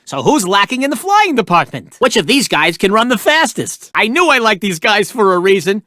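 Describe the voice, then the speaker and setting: A man's voice: high voice, very animated, normal speed, pushed and bright, no room sound, clean studio sound, heavily acted. A man voicing a brash, wisecracking cartoon meerkat who hosts a video game quiz with theatrical joking asides. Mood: playful quizzing. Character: wisecracking, hammy cartoon male meerkat sidekick. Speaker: quiz game host character.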